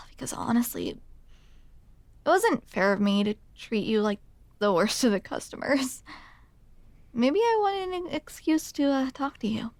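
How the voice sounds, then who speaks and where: Woman doing a tsundere barista girl, asmr roleplay, slow talking, shy teasing, very dry close mic. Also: a little high, big pitch swings, a little mellow, no echo. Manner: hesitant, teasing, self-deprecating. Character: grumpy but smitten tsundere barista woman, shy and wry. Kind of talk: ASMR roleplay, intimate one-sided conversation. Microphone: close microphone, dry.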